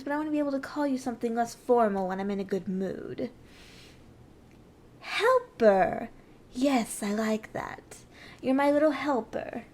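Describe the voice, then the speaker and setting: Woman acting as evil sorceress queen, higher female voice, dominant, scheming, mocking, theatrical ASMR roleplay. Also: slight background noise. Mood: playful, pleased.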